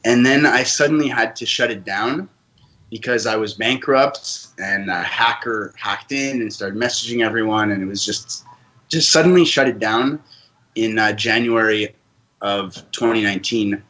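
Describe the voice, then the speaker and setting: Give me a man with a bright, tense voice, sincerely telling a personal story as a guest. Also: fairly low pitch, moderate pace, poor audio. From Canada. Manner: earnest, open personal storytelling. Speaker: podcast guest. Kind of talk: casual interview talk.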